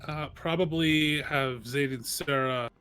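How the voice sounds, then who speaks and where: A man's voice: on the high side, slow, sharp tone. A man playing a tabletop game, offering casual suggestions to the group.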